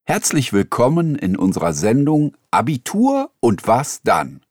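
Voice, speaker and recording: A man in a studio, reading interview questions in a scripted exam dialogue in a clear, announcing manner. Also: mid-range voice, darkish tone, no room sound.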